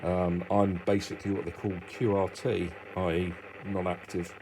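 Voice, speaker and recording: A man's voice: deep voice, flat delivery, moderate pace, background noise. A middle-aged British man giving a casual, explanatory hobby talk, rambling informally and thinking aloud as he goes. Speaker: amateur radio hobbyist presenter.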